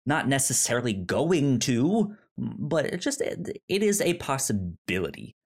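A man co-hosting a podcast in casual film chat, halting and often repeating the starts of his words. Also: mid-range voice, sing-song. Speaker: podcast co-host.

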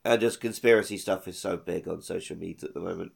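A man's voice: low-pitched, quick pace, creaky, breathy edge. A man co-hosting a podcast in a casual, chatty style. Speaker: podcast co-host. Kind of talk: casual chat.

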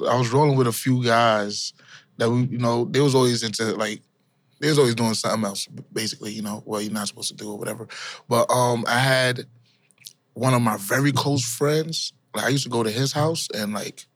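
A man's voice: mid-range voice, fairly bright tone, dry recording. Laid-back man in his thirties. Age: 30s. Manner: laid-back, slangy, full of fillers. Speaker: dancer, guest. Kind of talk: casual interview chat.

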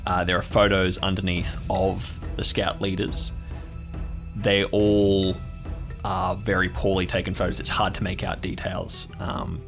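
A man runs an improvised tabletop story in a low voice with some fry. He describes each detail in measured, halting phrases. Faint music plays behind him. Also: monotone, fairly bright tone. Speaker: game master.

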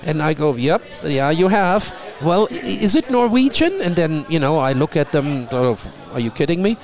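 A middle-aged man from Germany with a German accent, talking in a relaxed conversation and often repeating a word as he starts a thought. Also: on the high side, normal speed. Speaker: guest. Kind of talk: casual conversation.

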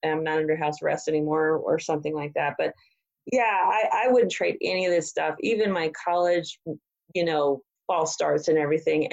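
A woman of about fifty talks in a relaxed, self-deprecating way, laughing easily as she shares her story. Her voice sits fairly low for a woman. The recording sounds a bit dull and lo-fi. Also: creaky. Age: 50s. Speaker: guest, former ship captain. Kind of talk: casual interview chat.

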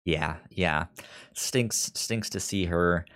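Podcast co-host, male, very low voice, casual recap talk, frequent stammering. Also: slow, a bit bright, clean tone. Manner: stammers often, repeating first sounds.

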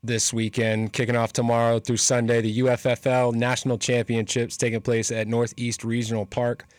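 A man's voice: mid-range voice, monotone, normal speed, dry recording. Upbeat male radio sports host. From New Hampshire. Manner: upbeat, joking, reads event listings. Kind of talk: casual radio sports chat.

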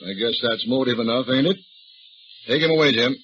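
An actor playing a shrewd, folksy older police detective, drawling and calmly needling a suspect in a 1930s radio drama. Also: male voice, medium pitch, fairly quick, close to an everyday voice. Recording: dull old radio recording with faint hiss.

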